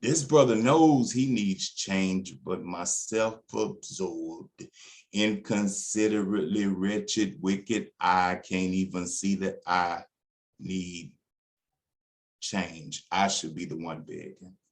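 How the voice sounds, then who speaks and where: A man reciting spoken word poetry in a deliberate, dramatic way with emphatic pauses. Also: deep voice. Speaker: poet.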